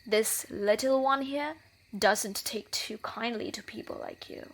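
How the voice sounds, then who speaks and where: A woman's voice: on the high side, unhurried. A woman playing a wily, menacing thief in a scripted fantasy audio roleplay, with a theatrical delivery. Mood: menacing, mocking.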